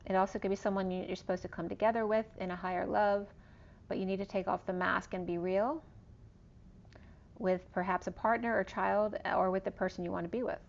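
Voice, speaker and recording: A woman giving a casual tarot reading, rambling through cards and star signs, her voice going hoarse. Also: mid-range voice, flat delivery, a little fast. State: losing her voice. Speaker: tarot reader. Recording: dull lo-fi recording.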